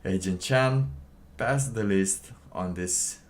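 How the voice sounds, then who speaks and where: A man giving casual livestream commentary and reading documents aloud, halting and rambling, often pausing mid-sentence. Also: on the low side. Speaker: livestream host.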